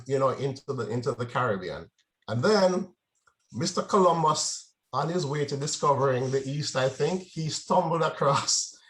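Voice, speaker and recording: A man's voice: mid-range voice, a bit bright. A man from Jamaica giving a conversational presentation to a club, often checking in with the audience. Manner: conversational, frequent "right?" check-ins. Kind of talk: informal presentation.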